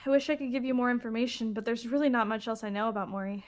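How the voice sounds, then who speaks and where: A woman's voice: a little high, steady tone, racing, natural voice. A woman playing an outspoken, hopeful mage who defends dragons, in an improvised fantasy game roleplay. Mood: concerned, persuasive. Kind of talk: improvised Minecraft roleplay acting.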